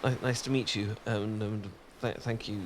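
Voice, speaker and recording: A man's voice: on the low side, steady tone, normal speed, noisy background. Stammering flustered British man. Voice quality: frequent nervous laughs and sighs. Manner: flustered, stammering, self-deprecating. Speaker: ASMR roleplay performer. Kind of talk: ASMR roleplay.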